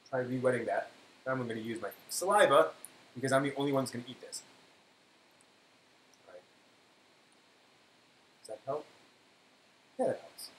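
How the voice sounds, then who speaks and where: A man hosting a casual live stream, chatting in an offhand way as he thinks aloud. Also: mid-range voice. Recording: roomy echo, faint hiss.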